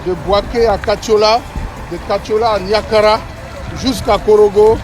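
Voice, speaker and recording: A man's voice: high-pitched, level tone, moderate pace, music in the background. Official man speaking formally amid a crowd. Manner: solemn, speechlike. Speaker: government representative. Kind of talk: formal address to marchers. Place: outdoors at a street gathering. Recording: loud crowd and traffic noise behind.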